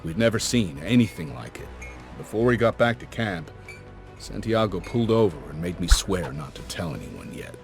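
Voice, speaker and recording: A folksy older adventurer tells his tale in a low voice with slangy, reflective phrasing. It sounds like a cinematic game cutscene, with music clearly playing behind him. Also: sing-song, moderate pace, heavily acted. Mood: grave. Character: folksy older male adventurer, warm storytelling uncle type.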